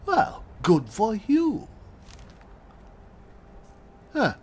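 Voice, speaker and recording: Slow, wry woman roleplaying a game. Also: fairly high pitch, wildly swooping pitch, a little mellow. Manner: musing, wry, theatrical. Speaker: roleplaying game player, host. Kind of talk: in-character video game roleplay commentary. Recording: faint background noise, game ambience.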